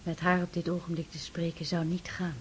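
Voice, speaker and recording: An actress playing a gentle, wise young noblewoman in a radio play, speaking in a calm, measured way. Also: on the low side, slight background noise, lightly acted. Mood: reassuring.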